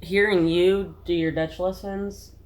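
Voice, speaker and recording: A woman co-hosting a casual reaction chat, chatty and quick to laugh, often trailing off mid-thought. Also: on the low side, fairly even intonation. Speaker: reaction channel co-host.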